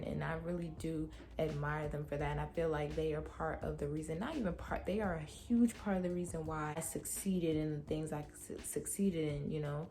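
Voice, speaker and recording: Low-voiced young woman, a bit raspy. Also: dark tone. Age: young adult. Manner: candid, emotional, talks straight to viewers. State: raspy throat from a cold night. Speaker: vlogger. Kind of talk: personal reflective vlog. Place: her room.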